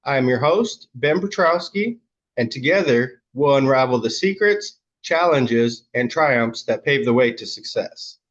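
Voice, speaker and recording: A man's voice: medium pitch, animated, measured pace, lo-fi sound. A man hosting a podcast, reading an upbeat scripted intro and then asking short follow-up questions. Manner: upbeat promotional read, then brief affirmations. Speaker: podcast host.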